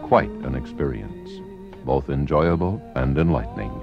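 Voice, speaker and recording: Very deep, gravelly ad announcer. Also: male voice, expressive, moderate pace. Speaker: commercial announcer. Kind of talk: 1960s record advertisement voice-over. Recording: dull lo-fi 1960s radio commercial. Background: loud music.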